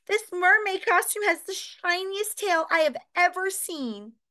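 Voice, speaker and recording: A woman voicing an excitable little girl piglet in a storybook, squealing and eager. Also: high-pitched, measured pace, exaggerated voice. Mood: excited.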